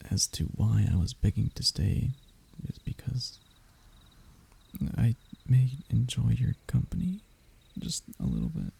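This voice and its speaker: A man's voice: mid-range voice, vocal fry, dark tone, breathy edge, exaggerated voice. A man playing a stubborn, scheming, lovestruck young human royal held captive, in a close audio roleplay with flowery wording and flustered stammers. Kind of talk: audio roleplay acting, addressing the listener closely.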